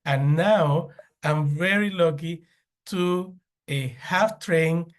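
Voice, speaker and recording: A man's voice: a little high, slow. A man from Cuba, presenting as a plant pathologist panelist in a webinar, with many restarts and fillers.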